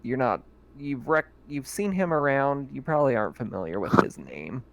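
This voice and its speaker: Casting: man, mid-pitched, easygoing game master narrating a tabletop game. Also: moderate pace, creaky, very noisy. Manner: relaxed, filler-heavy description. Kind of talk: running a tabletop roleplaying game.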